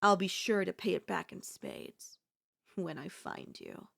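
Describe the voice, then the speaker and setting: A woman's voice: fairly low pitch, wildly swooping pitch, unhurried, vocal fry, exaggerated voice. A woman playing an obsessive, scheming yandere in a theatrical, haughty manner with formal phrasing, in an ASMR audio roleplay. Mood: coldly possessive, admiring.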